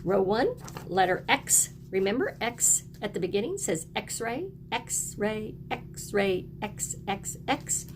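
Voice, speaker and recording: A woman's voice: mid-range voice, big pitch swings, slow, background noise. A woman teaching young children, encouraging them in short, simple phrases as she reads aloud and explains. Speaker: early elementary teacher.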